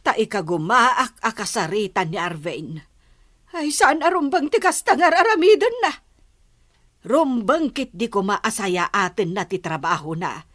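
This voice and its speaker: A woman's voice: mid-range voice, animated, unhurried, fairly bright tone, no echo, clean studio sound. An actress playing a worried, scolding elderly mother in an Ilocano-accented radio drama.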